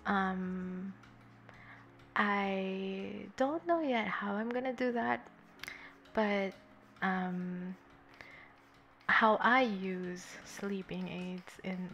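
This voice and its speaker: Young woman streamer rambling slow while drawing, gravelly fry, giggly, lots of ums, desk mic. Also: medium pitch, a bit bright, a little hiss or hum. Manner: giggly, rambling with many fillers. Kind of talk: casual chat while drawing. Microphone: desktop USB microphone.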